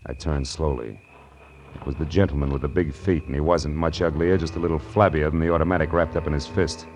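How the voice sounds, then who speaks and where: Wisecracking American gumshoe for vintage radio crime drama, deep-set voice, sardonic and streetwise. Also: male voice, steady tone, brisk, a little hiss or hum. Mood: cool, sardonic. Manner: wry, tough, slangy. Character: hard-boiled, wisecracking male private detective. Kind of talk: 1940s radio noir narration and dialogue.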